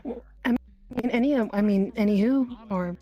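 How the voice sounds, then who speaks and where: A woman talking in an improvised roleplay, halting and flustered as she searches for words. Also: fairly high pitch, dull audio. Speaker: player in an online roleplay. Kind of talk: improvised in-character conversation.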